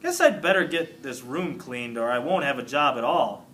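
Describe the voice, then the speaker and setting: A man's voice: mid-range voice, animated, normal speed, fairly bright tone, slight background noise, lightly acted. A man voicing a good-natured, somewhat grand male night janitor in a children's storybook. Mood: doubtful. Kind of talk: storybook character reading.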